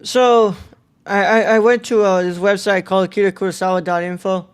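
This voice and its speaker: A man's voice: sits high, fairly even intonation, a little fast, clean tone. Casual podcast co-host, a man. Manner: informal, mild swearing. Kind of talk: casual film review podcast chat.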